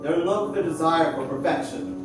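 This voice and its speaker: A distant, echoing theater recording with loud music. An actor plays a bitter young male cyborg, cast off and resentful, in a fairly high voice with theatrical delivery. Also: a little fast, lightly acted. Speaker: stage actor. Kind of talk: theatrical stage acting. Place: theater stage, distant microphone.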